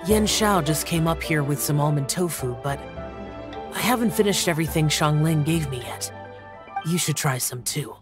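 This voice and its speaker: A man plays a reserved, otherworldly young guardian from a video game. He speaks quietly and stiffly, with soft music beneath. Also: on the high side, moderate pace, natural voice. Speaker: game character.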